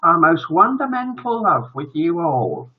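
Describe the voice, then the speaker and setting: A man's voice: a little high, big pitch swings, a little slow, breathy edge, far from the mic, phone-quality sound, voice pushed up, heavily acted. A man voicing a gushing, doting mother in a children's story, with cooing, melodramatic baby talk.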